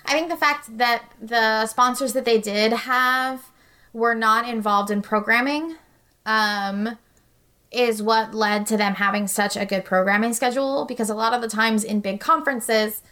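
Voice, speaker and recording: A woman's voice: on the high side, fairly even intonation, unhurried, sharp tone. Earnest, articulate woman in casual discussion. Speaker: podcast guest.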